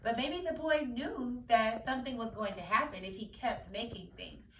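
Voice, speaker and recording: Female teacher, higher voice, explaining and repeating key points for kids; distant mic, echoey room. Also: moderate pace, fairly bright tone. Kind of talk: read-aloud lesson discussion for children. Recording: roomy echo, distant mic, faint noise.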